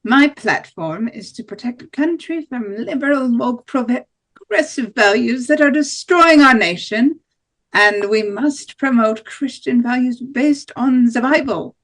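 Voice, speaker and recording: High female voice, a woman acting as far-right candidate, strident, declamatory, scripted campaign speech, amateur play reading. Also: a little slow, fairly bright tone. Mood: strident, assertive. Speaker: actor in an amateur table read.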